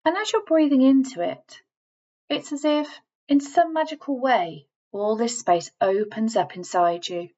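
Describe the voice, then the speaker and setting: A woman reading a scripted guided relaxation in a measured, instructing manner, leaving deliberate pauses between phrases. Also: a little high, dark tone, highs cut off. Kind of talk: scripted guided meditation voiceover.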